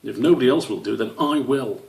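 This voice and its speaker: A man's voice: medium pitch, brisk, gravelly, darkish tone, reverberant, far from the mic, pitched above the performer's own voice, close to an everyday voice. A man voicing a young red squirrel boy in a storybook, earnest, worried and insistent. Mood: determined.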